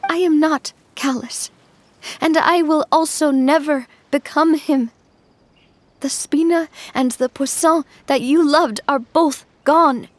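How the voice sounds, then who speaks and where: A woman voicing a young gang boss who speaks softly and in a measured, heartfelt way. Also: sits high. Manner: soft-spoken, measured, emotional. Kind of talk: game voice acting.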